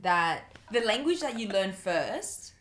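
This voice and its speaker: Lively, profane woman host. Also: mid-range voice, slow pace. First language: Vietnamese. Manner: blunt, profane, laughs often. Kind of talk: casual chat.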